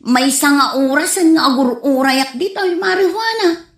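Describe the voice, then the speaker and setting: A woman's voice: high-pitched, normal speed. A woman with an Ilocano accent playing a suspicious, nagging friend in a comedy radio drama. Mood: curious, impressed. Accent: Ilocano, from the Philippines. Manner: suspicious, complaining. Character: wary, nagging woman friend who distrusts strangers. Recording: echoey room, faint background noise.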